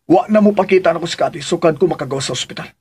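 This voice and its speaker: Stubborn son in a filipino radio drama, filipino accent, high raspy-ish voice, pushy, defensive, challenging. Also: male voice, racing, darkish tone. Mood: indignant, challenging. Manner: insistent, challenging, fires back questions. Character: defensive, stubborn grown son in love with a maid.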